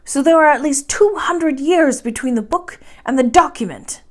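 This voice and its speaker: A woman reading as an excitable old professor. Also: sits high, moderate pace, pitched above the performer's own voice. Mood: emphatic. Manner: emphatic, declaiming. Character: excitable old male professor, obsessive scholar.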